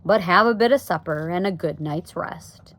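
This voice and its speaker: A woman's voice: fairly low pitch, a bit bright. Woman voicing a grave, plain-spoken storybook father. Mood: cautioning. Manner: folksy ungrammatical speech, measured. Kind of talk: storybook read-aloud.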